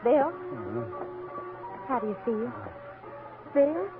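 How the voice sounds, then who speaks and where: A gentle, devout saloon girl in an old radio Western. She speaks slowly, with soft solemnity. The sound is thin and old, with music around it. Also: high voice, lively intonation, heavily acted. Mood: tender, anxious. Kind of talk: 1950s radio Western acting. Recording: thin, narrow vintage radio broadcast.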